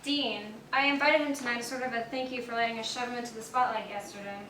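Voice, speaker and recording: A poised, teasing wife played by a woman with a fairly high voice and a wry, reassuring manner. The stage drama is recorded from afar, with clear background noise. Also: fairly even intonation, quick pace, sharp tone. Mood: chatty. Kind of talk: stage play acting. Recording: distant, echoey, noisy stage recording.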